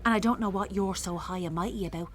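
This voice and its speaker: A woman with an Irish accent playing a weary, sharp-tongued working-class mother in a radio drama, wry and blunt. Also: mid-range voice, quick pace, natural voice. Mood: defiant, scornful. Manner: wry, blunt, earthy talk. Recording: clearly noisy, traffic and ambience behind.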